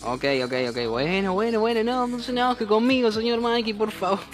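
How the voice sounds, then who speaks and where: Playful Argentine radio host. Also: male voice, high voice, animated, normal speed, over music. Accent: Argentine Spanish. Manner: playful, joking, laughs easily. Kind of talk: casual radio chat and countdown.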